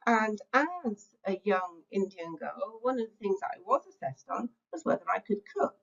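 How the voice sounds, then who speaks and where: A woman's voice: mid-range voice, very animated, normal speed, gravelly, fairly bright tone, highs cut off. A middle-aged woman, giving an online lecture to teachers, reflective and conversational with frequent filler sounds. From England. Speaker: teacher-training speaker. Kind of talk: webinar lecture.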